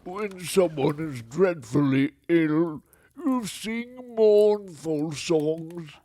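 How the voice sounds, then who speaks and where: A man voicing a grumpy, self-pitying big bear with a cold for a children's storybook, raspy and growling, with pompous, drawn-out complaining. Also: a little high, mellow, pitched above the performer's own voice. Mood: pompous gloom. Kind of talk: children's storybook read-aloud.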